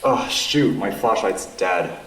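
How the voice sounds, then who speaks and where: A man's voice: on the low side, takes it slowly, a bit bright. A young man acting as a cocky, teasing college student in an audio drama, with a casual, mocking manner. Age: young adult. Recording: echoey room, faint noise.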